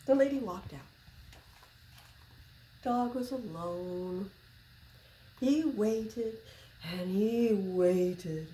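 A woman in her sixties reading a picture book aloud to children, expressive and deliberate, as a library storyteller. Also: mid-range voice. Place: small public library room, indoors. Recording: roomy echo, faint background noise.

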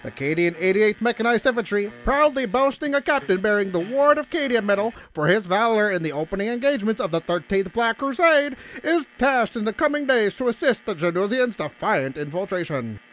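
A man's voice: high voice, expressive, a little fast, hardly any pauses, fairly bright tone, voice pushed up, rough recording. A man voicing a pompous, bombastic propaganda announcer reading a wartime bulletin in a grim sci-fi audiobook. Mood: boastful. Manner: pompous, proclaiming. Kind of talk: wartime newsreel-style propaganda broadcast. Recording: clear background noise.

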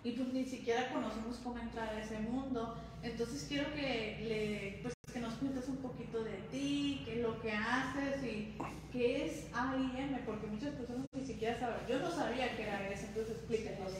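A woman's voice: a little high, fairly even intonation, moderate pace. Woman host in a very echoey room. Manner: informal, slangy. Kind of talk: casual interview chat. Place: indoor lounge nook with brick wall and concrete floor. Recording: echoey room with clear background noise.

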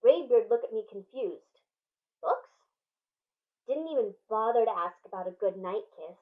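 A woman in her thirties reading a children's picture book aloud with an expressive, theatrical delivery. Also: mid-range voice, brisk. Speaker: storytime reader. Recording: dull, lo-fi webcam sound.

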